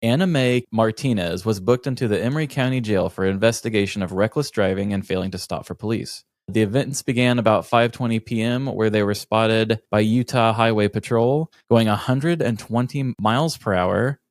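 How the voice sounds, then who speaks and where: A man's voice: fairly low pitch, moderate pace, hardly any pauses, no echo. A man hosting a podcast, reading out a news story and chatting casually about it. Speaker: podcast host.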